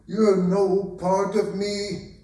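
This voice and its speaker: A man's voice: sits high, completely flat delivery, drawn-out words, warm tone. A man playing a proud, wry, aging king in a theatrical staged reading, declamatory in manner. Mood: challenging. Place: arts center stage, recorded from afar. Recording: very echoey hall, distant mic.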